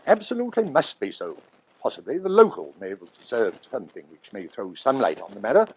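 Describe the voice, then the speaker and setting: An actor playing a middle-aged, exasperated veteran railway superintendent in an emphatic old-time radio drama style. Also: male voice, medium pitch, very animated, fairly quick, slightly rough, rough recording. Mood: brisk, baffled.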